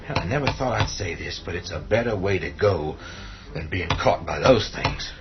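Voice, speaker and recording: A shaken but steady survivor in a radio horror drama, with a lowish voice that swings widely. He talks urgently and haltingly, trying to reassure, in a dull recording with music underneath. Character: steady, shaken man barricading a farmhouse against the undead.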